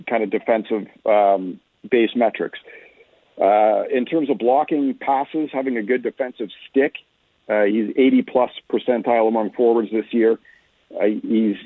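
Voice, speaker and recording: A man's voice: medium pitch, husky, no room sound. A man giving off-the-cuff hockey analysis as a radio guest, talking conversationally and often rephrasing as he goes. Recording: muffled, phone-like radio call-in line.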